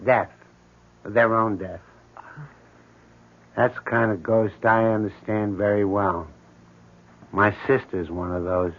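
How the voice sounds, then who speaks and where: A man's voice: on the low side, steady tone, slow pace, long pauses. An actor playing an odd, morbid building handyman, matter-of-fact and brooding, in a 1970s radio drama. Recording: thin, tinny old radio broadcast.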